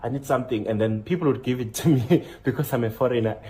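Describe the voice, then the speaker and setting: A man from South Africa with a South African accent, chatting casually in a travel vlog and excitedly announcing each numbered point. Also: fast talker. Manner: animated, jumps up in pitch to announce list items. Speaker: vlogger.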